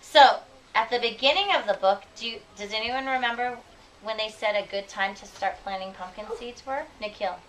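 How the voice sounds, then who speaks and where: Bright-voiced woman teacher, recorded from afar. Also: fairly high pitch. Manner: expressive, deliberate reading, checking understanding with questions. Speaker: elementary school teacher. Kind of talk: read-aloud lesson with questions to children. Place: school classroom. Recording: noisy classroom, distant microphone.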